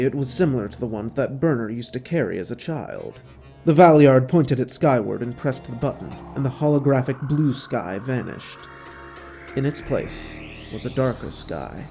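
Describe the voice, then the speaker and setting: A man's voice: mid-range voice, vocal fry, warm tone. A man reading scripted story narration for an audio drama, with music underneath.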